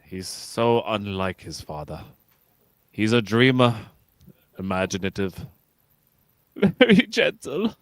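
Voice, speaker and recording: Dignified ageing captain, sci-fi radio play, male actor. Low, formal, reflective, dry close recording. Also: big pitch swings, slow pace, long pauses, dry recording, exaggerated voice. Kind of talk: live script read, sci-fi radio theatre.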